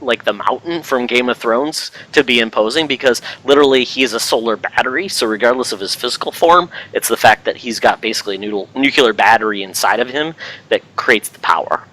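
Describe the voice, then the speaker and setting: A man hosting a podcast with casual, jokey banter, quick to be amused. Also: medium pitch, sharp tone, rough recording. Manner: jokey, laughs easily. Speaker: podcast host.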